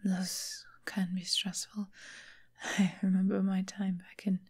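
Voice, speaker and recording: A woman voicing a refined, affectionate vampire mistress in a soft, breathy ASMR roleplay whisper, gentle and soothing. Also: mid-range voice, completely flat delivery, full character voice. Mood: wistful, tender. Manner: gentle, unhurried, genteel, affectionate endearments. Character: caring, refined female vampire mistress, long-lived doting lover.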